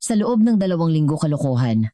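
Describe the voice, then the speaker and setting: A woman's voice: low voice, sing-song, fast, dark tone, no echo. A Filipino-accented woman voicing an incredulous, scolding male business partner, exasperated and disbelieving in storybook dialogue.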